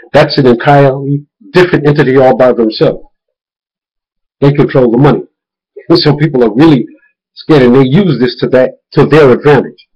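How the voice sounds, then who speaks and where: Male vlogger, mid-pitched voice, informal and emphatic storytelling with repeated words for stress, muffled lo-fi sound. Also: a little mellow, slightly rough. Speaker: video blogger warning viewers about a phone scam. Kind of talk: informal spoken warning with retold phone conversations.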